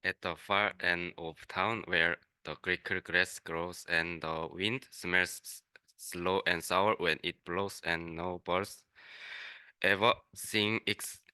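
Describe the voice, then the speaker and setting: A young man, a native Korean speaker, reading a rhyming children's story aloud haltingly, stumbling over words. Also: deep voice, fairly even intonation, pushed and bright, smooth, no room sound. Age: young adult. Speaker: student reader.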